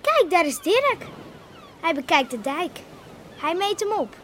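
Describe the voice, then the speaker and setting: An actress playing an eager, hopeful young woman in expressive, natural Dutch radio drama dialogue. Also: extremely high pitch, measured pace, a little hiss or hum, exaggerated voice. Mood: delighted, wistful.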